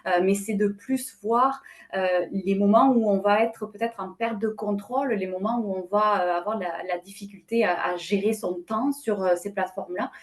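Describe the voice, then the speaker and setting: A woman with a southwestern French accent talks earnestly in a webinar, pausing often on fillers as she searches for words. Her voice is of middle pitch and reaches the listener through a video call. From France. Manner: earnest, hesitant with many fillers. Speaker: webinar panelist. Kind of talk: webinar talk.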